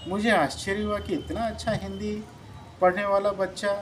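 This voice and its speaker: A middle-aged man, a school principal, telling a story about a pupil in an earnest, measured way and ending with advice for parents. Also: high-pitched, flat delivery, poor audio. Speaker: school principal and channel host. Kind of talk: reflective storytelling monologue with a moral. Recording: noticeable background noise.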